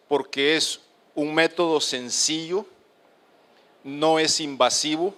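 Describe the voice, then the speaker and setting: A middle-aged man from the Colombian Caribbean coast, with a coastal accent mixed with Medellín's, giving a halting lecture to an auditorium with many fillers. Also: on the high side, fairly bright tone. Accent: Colombian, coastal mixed with Medellín paisa. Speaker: speaker at a lecture. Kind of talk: lecture to an audience.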